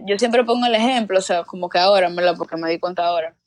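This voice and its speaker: A woman's voice: on the low side, fast, no room sound. A young woman from the Dominican Republic with a Dominican Spanish accent, speaking as a guest in casual conversation. She talks earnestly and reflectively, and often repeats words while she searches for how to put things. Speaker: guest, exchange student abroad.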